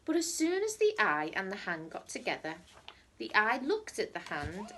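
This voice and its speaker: A woman reading a children's story aloud like a teacher, in a dramatic, deliberate storytelling manner. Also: mid-range voice, wildly swooping pitch, slight background noise. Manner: dramatic, deliberate storytelling with emphatic repetition and hushed phrases.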